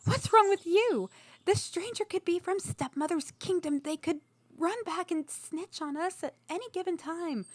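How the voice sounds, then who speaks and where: A woman's voice: extremely high pitch, normal speed, no echo. A woman playing a hot-tempered, protective and suspicious sister standing guard in a fantasy audio roleplay. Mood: scornful, defensive. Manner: scornful, blunt, combative.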